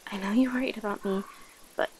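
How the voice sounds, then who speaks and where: A woman voicing a predatory, teasing vampire woman in an ASMR roleplay, breathy and often whispering, with drawn-out, menacing delivery. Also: medium pitch, fairly even intonation, noisy background, close to an everyday voice. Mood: hungry, warning.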